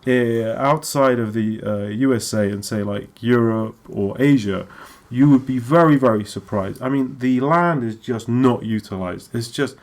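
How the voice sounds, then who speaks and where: A man's voice: medium pitch, a little slow. A man with an English accent, a guest on a podcast, chatting casually and joking as he explains. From Britain. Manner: lively joking storyteller, laughs often. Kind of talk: casual chat.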